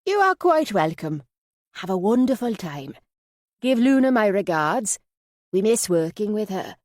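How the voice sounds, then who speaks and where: A woman's voice: mid-range voice, sing-song, a little slow, a little mellow, dry recording, studio quality, voice pushed up. A woman voicing a cheery, affectionate Yorkshire woman in an audiobook, effusive and full of endearments. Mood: bright, delighted. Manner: chirpy, effusive, uses endearments.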